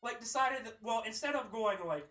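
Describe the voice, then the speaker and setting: A man's voice: very high voice, lively intonation, normal speed, a bit bright. A twenty-one-year-old man from Pennsylvania co-hosting a podcast, telling a profane, exasperated story in casual chat. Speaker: podcast co-host. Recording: echoey room, mic at a distance.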